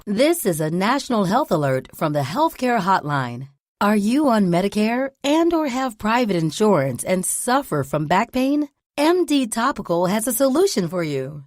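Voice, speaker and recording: Woman, scripted radio commercial, animated intonation, dry close sound. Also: medium pitch, moderate pace, no room sound. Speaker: advertisement announcer.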